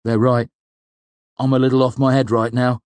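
A man's voice: mid-range voice, flat delivery, normal speed, darkish tone, no echo, pitched above the performer's own voice, natural voice. A man voicing an eccentric older male student in a storybook, blunt, wry and given to teasing and grumbling. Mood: weary, conciliatory.

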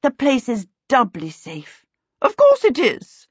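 A woman's voice: sits high, wildly swooping pitch, slow pace, husky, dry recording, lo-fi sound, pitched above the performer's own voice, exaggerated voice. A woman voicing a stately, imperious aunt in a storybook, haughty, commanding and formal. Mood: confident.

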